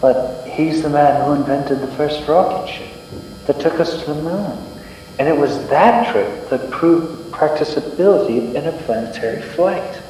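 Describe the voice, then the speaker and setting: A young man playing an earnest, polite heroic space adventurer in an old-time radio serial, speaking deliberately. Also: fairly high pitch, mellow, lightly acted. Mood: admiring. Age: young adult. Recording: very echoey hall, distant, faint hum.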